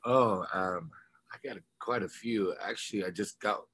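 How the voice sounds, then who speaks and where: A man's voice: sits low, normal speed, fairly bright tone, slightly rough. A man being interviewed as a musician, chatting informally and telling rambling anecdotes. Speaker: musician interview guest, rock singer and guitarist. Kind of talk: casual chat, telling anecdotes.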